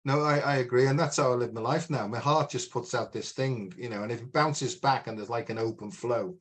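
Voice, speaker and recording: A man with a big, booming, loud voice, speaking at an ordinary middle pitch. He talks frankly and emphatically in a casual spiritual conversation, often adding "you know" as he goes. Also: fairly quick, slightly rough. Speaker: podcast host.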